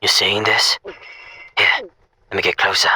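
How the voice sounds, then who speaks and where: Man acting a sharp, bright-voiced furtive henchman. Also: deep voice, little pitch movement, measured pace, full character voice. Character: eager, furtive henchman spying for his boss. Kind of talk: audio drama acting. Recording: noisy phone-call style sound.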